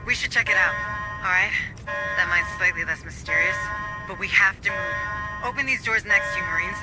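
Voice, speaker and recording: A woman's voice: medium pitch, normal speed, close to an everyday voice, rough recording. Female colony administrator for a sci-fi game, played by an actress: focused, businesslike, giving squad directions over music. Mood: focused, directive. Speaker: mission coordinator. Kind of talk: video game dramatic dialogue. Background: background music.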